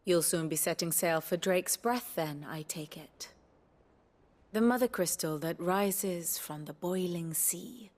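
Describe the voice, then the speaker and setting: An actress playing a learned, wry and poised woman counsellor in a fantasy video game, lecturing in a measured, erudite way. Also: lowish voice. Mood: measured, scholarly. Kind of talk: expository fantasy game acting. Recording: loud music under the voice. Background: loud music.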